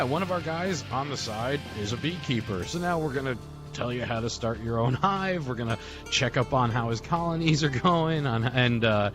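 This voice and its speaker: A man speaking casually in a group remembrance, telling rambling stories as a podcast host. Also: lowish voice. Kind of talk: casual reminiscing in a group memorial call. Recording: soft guitar music behind. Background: guitar music.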